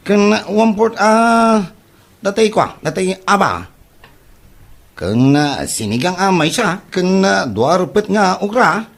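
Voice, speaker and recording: A man's voice: high voice, very animated, gravelly, full character voice. A man with an Ilocano accent playing a boastful, broke braggart in a comedy audio drama, stammering through his excuses. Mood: sheepish, stalling. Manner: halting, hesitant, full of drawn-out stammers.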